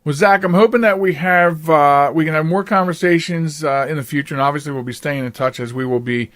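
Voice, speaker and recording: Guy hosting a podcast, kinda high voice, chatty and rambly, dry sound. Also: fairly quick, a bit bright, dry recording. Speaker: podcast host. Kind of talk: casual interview chat.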